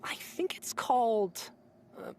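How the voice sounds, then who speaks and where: A woman's voice: fairly high pitch, wildly swooping pitch, takes it slowly, mellow, slight background noise, heavily acted. A woman voicing a friendly, casual young operative in an anime game, musing and a little hesitant. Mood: curious, chatty.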